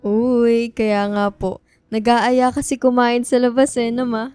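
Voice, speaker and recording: A woman with a Filipino accent playing a tomboyish, teasing teenage daughter in a radio drama, with a clear voice. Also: a little high, flat delivery, unhurried, darkish tone, dry recording, lightly acted. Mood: eager. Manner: teasing, bickering. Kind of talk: radio drama acting, casual banter. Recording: music bed under the voice.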